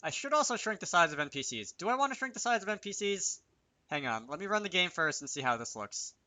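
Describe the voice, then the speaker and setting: Man, very bright crisp-edged tone, fairly high pitch, casual tutorial commentary, hesitant, thinking aloud. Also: sing-song, quick pace. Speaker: game developer hosting a tutorial series. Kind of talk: casual unscripted screen-recording commentary.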